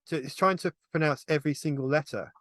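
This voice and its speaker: A man's voice: fairly high pitch, fast talker, fairly bright tone, no echo, clean studio sound. A man in a casual panel discussion, explaining things in a tentative way. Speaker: film review panelist and animation hobbyist.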